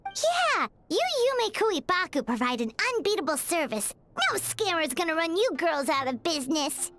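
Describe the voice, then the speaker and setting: A woman's voice: very high-pitched, very animated, moderate pace, fairly bright tone, slight background noise, heavily acted. A woman voicing a tiny childlike floating companion in a video game, bubbly, chatty and cutesy, full of exclamations. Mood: upbeat, confident. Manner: speaks of herself in third person, exclaiming, cutesy. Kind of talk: video game character acting.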